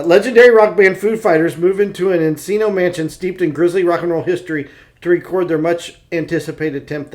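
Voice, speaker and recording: A man co-hosting a podcast in casual chat, speaking in an enthusiastic, opinionated and informal way. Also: on the high side. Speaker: podcast co-host.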